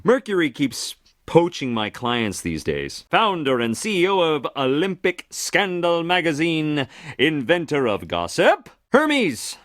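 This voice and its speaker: Flamboyant, gossipy trickster god, wildly swooping voice. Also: male voice, medium pitch, a bit bright, dry recording, clean studio sound. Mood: boastful. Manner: flippant, showman-like, breaking the fourth wall. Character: flamboyant gossipy male Greek messenger god, cheerful liar. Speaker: guide to the underworld. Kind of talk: theatrical monologue to an audience.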